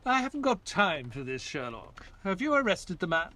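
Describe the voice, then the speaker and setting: Guy as reserved ex-military sidekick telling the story in a radio play, slightly high male voice, lots of melody. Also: sing-song, moderate pace, pushed and bright, full character voice. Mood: defensive. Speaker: actor and narrator in an audio drama. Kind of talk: narrated audio play.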